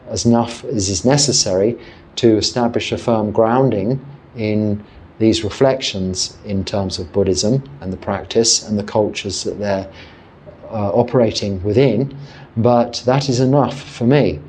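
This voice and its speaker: An English man in his fifties, a Buddhist monk, speaking calmly and reflectively as he answers a viewer's question, with pauses and self-corrections. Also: fairly low pitch, slight background noise. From England.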